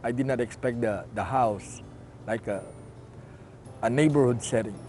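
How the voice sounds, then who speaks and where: A man's voice: mid-range voice, normal speed, a little mellow, a little hiss or hum. A man from the Philippines, a client of a recovery program, speaks hesitantly, searching for his words. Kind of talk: testimonial interview.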